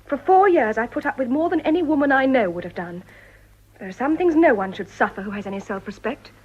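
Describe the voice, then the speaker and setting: A wronged, defiant young upper-class English wife in a period radio drama. Her voice is fairly high and husky, her phrasing formal and emphatic. The sound is dull and lo-fi. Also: lively intonation, quick pace. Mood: cool, resolute. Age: young adult.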